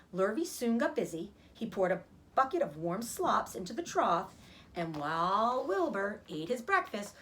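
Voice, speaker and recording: A woman reads a children's story aloud with very lively, swooping intonation. She narrates expressively, in the animated manner of a teacher acting out a book. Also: mid-range voice. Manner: animated, acts out dialogue.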